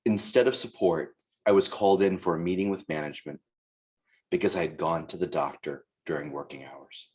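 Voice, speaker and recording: Male reader, deep voice, reciting a written personal account. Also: fairly quick, a little mellow. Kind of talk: reading a written testimony aloud.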